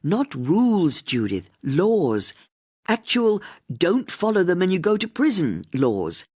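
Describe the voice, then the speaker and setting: An exasperated policewoman, as a narrator plays her, firm and by the book. She is emphatic and animated, with a faintly husky tone in a close, dry recording. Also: medium pitch, takes it slowly, no echo, voice pushed up, full character voice.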